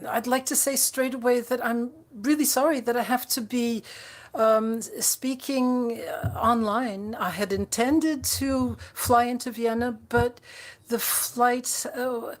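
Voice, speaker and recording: A woman interpreting a conference speech live, halting often with fillers and restarts. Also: fairly high pitch, fairly even intonation. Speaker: simultaneous interpreter.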